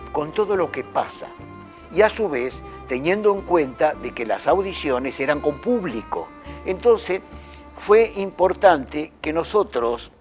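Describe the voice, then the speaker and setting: A man explains a stage production in an interview, with a lively, rising and falling voice. His pitch sits a bit high for a man. Faint music runs underneath. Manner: explanatory, steady.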